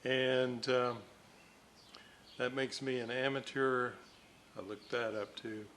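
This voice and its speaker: An older man giving casual, halting, folksy narration as a hobbyist at his workbench. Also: medium pitch, steady tone, fairly bright tone, slight background noise. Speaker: radio restoration hobbyist and host.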